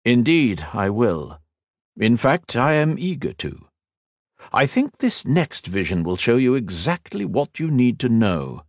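A man voicing a cold, mocking villainous male sorcerer who taunts slowly and theatrically. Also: mid-range voice, sing-song, no echo, studio quality, voice pushed up. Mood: taunting.

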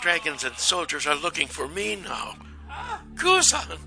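A man voicing a frail, withered old man who is timid and crafty, with a trembling, creaky, whiny voice. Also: moderate pace, over music. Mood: fretful. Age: older. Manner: whiny, pleading, high strained voice.

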